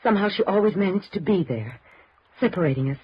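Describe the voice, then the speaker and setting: Shaken, halting young radio-drama woman. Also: mid-range voice, lively intonation, husky. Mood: anxious, insistent. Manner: halting, breaking off and repeating words. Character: shaken, anxious young woman, grieving and suspicious daughter. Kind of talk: 1950s American radio drama acting. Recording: dull, noisy old radio recording.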